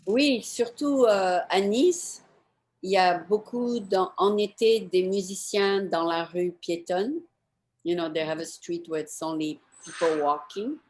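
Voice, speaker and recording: A woman's voice: lowish voice, unhurried, darkish tone, poor audio. A woman hosting a show, chatting casually with her guest in an informal interview. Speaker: show host. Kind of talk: casual interview chat, wrapping up.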